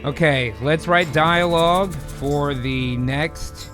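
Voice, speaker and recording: Man, high voice, slow halting delivery, comedic screenplay narration, casual, faint music behind. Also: fairly bright tone. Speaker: host, writer and narrator.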